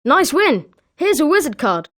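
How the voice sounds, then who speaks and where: A woman's voice: high voice, sing-song, moderate pace, a bit bright. A woman with a British accent voicing an eager, sporting schoolboy wizard with chirpy, emphatic delivery in a video game. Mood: congratulatory. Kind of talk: scripted video game character lines.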